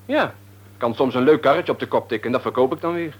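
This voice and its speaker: A man's voice: medium pitch, fast talker, breathy edge, natural voice. An actor playing a cocky, evasive young man in a radio play, speaking casually and nonchalantly. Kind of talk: Dutch radio play acting.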